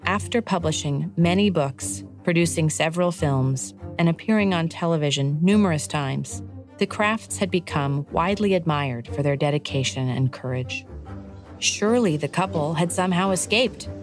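A woman with a low voice narrates a scripted story for children. Her delivery is measured and clearly articulated, and soft music plays behind her.

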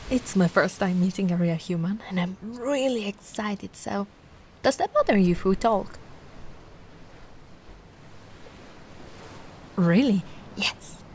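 A woman voicing a giant, sweet and curious young mermaid in an ASMR roleplay, gushing and doting in a gentle, cooing way. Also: medium pitch. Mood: curious, excited. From Italy. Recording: noticeable ambient background noise.

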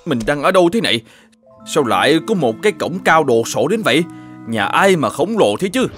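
A man playing a grumpy, fault-finding middle-aged shoemaker in an animated fairy tale, with a scolding, exclamatory manner. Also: on the high side, moderate pace, soft background music. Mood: awed.

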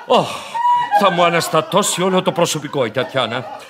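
A fussy bank manager husband, played by an actor in a comic radio play. His high male voice jumps around as he hesitates, placates and turns pompously formal. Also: lively intonation, heavy background noise, natural voice. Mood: flustered, officious. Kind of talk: old Greek radio theatre comedy acting.

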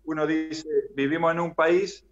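A middle-aged man with an Argentine accent, chatting as an interview guest over a video call, filling pauses with "eh" and "viste". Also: on the high side, little pitch movement, a crowd in the background. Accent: Argentine Spanish. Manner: chatty, fills with "eh" and "viste", restarts phrases. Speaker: interview guest, former basketball player. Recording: dull lo-fi video call audio.

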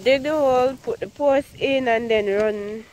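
A woman's voice: a little high, unhurried, darkish tone, a little hiss or hum, low-quality recording. A young woman with a Jamaican accent, vlogging casually on a rural farm and teasing her friends. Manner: playful teasing, lots of laughing. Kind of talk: casual vlog chat. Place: outdoors on a grassy rural farm.

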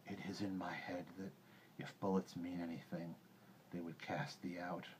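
A man voicing a calm, composed old lone wolf in a storybook, speaking in a hushed, breathy whisper. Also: low-pitched, a little hiss or hum, lightly acted, low-quality recording. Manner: slow, composed.

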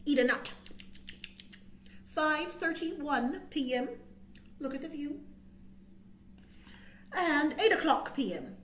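A middle-aged woman hosts a children's storytime, reading a picture book in a high voice that swings widely in pitch. She takes her time, leaning into dramatic emphasis and adding small asides for young listeners. The recording has a roomy indoor echo. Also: animated, takes it slowly, pauses often, a bit bright, a little hiss or hum. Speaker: children's librarian, storytime host. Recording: roomy, echoing indoor sound.

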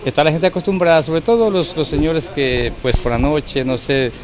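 A man's voice: on the high side, moderate pace. A man in his fifties, a local business owner, complaining indignantly in a street interview into a handheld mic, repeating his points. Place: busy street market outdoors. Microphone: handheld reporter mic. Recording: busy street crowd behind.

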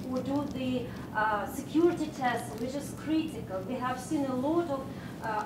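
A high-pitched woman presses a panel with insistent questions. She is heard from far off in an echoey conference room with clear background rumble. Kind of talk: pointed question and debate. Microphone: distant room microphone. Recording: distant, echoey room recording with rumbling noise.

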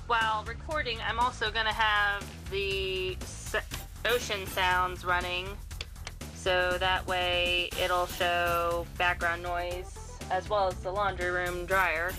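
A woman's voice: on the low side, flat delivery, takes it slowly, over music. A young American woman giving a casual, rambling, unscripted tutorial at home in an informal way. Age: young adult. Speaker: tutorial host, amateur fandubber. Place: cluttered room at home.

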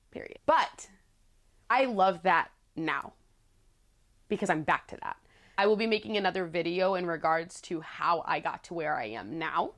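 A young woman in her twenties with a low voice, telling her story candidly to the camera in a casual vlog, chatty and playful with frequent laughs. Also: fairly bright tone. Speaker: vlogger. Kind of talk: casual talking-head storytelling. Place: small living room with plants, indoors.

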